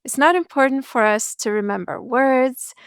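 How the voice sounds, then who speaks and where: Articulate woman speaking coach, smooth gravelly voice. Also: high voice, no room sound, studio quality. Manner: articulate, measured, reassuring. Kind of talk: podcast interview answers.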